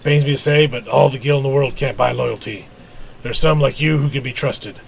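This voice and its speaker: A man's voice: medium pitch, steady tone, a little fast, gravelly, slight background noise, natural voice. A man voicing a stern, distrustful old captain in a fantasy game, solemn and indignant. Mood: stern, aggrieved.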